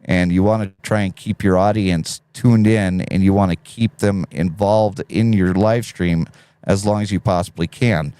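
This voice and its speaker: A man with a deep radio voice hosting a casual live stream, reading chat messages aloud and chatting easily. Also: warm tone, clear, resonant tone, no echo. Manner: laid-back, reads chat messages aloud, laughs often.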